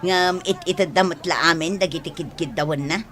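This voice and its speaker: A woman's voice: on the low side, brisk, a bit bright, soft background music, lightly acted. A woman playing a greedy, domineering middle-aged village mother with an Ilocano accent and a pushy, mocking manner in a radio drama. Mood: nosy.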